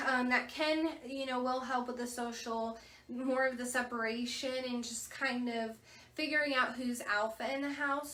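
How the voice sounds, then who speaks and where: A woman from a veterinary clinic gives casual tips on puppy care, with a soft voice and lots of "you know" fillers. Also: fairly high pitch. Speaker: veterinary staff member. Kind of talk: informal instructional talk. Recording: echoey room, distant mic.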